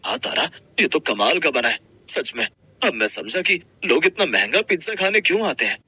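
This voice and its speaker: A man playing a simple, humble working husband, gentle, earnest and a little hesitant, in a Hindi moral-story cartoon. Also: a little high, very animated, normal speed, slightly rough, full character voice. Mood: pleased, gentle.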